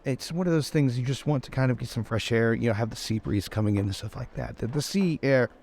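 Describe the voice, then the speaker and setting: A man playing an awkward, eager-to-help gentleman lord in improvised tabletop roleplay, courteous, stammering and rambling. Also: medium pitch, quick pace, slight background noise, natural voice. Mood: flustered, agreeable.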